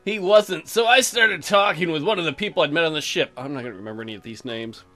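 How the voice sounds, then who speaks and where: A man's voice: a little high, fast talker, bright, forceful tone, faint music behind. A man hosting a let's play, mixing crude, mocking commentary with reading game text aloud.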